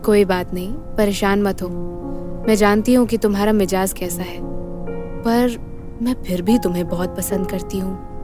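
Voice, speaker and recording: A woman's voice: medium pitch, normal speed, close to an everyday voice. A resolute woman spy in a romantic audio drama played by an actress, torn between love and duty. Faint music plays under her voice. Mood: tender.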